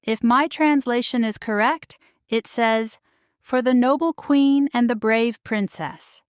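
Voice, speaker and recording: A woman voicing a refined, caring queen and mother in a children's storybook, proper, measured and delicately formal. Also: on the high side, clean tone, no room sound, studio quality. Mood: gently instructive. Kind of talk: children's storybook character reading.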